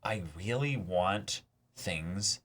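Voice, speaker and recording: Casual male co-host for fan discussion, forceful bright tone, emphatic and enthusiastic delivery. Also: fairly low pitch, expressive, very slow. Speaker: co-host of an anime reaction channel. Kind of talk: casual chat, reaction discussion.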